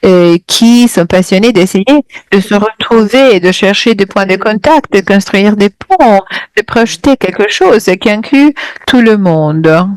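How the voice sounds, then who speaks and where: Woman interpreting a talk over a video call, real slow, lots of ums and restarts, dry sound. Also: mid-range voice, expressive, a little mellow, no echo, low-quality recording. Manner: hesitant, full of fillers and restarts.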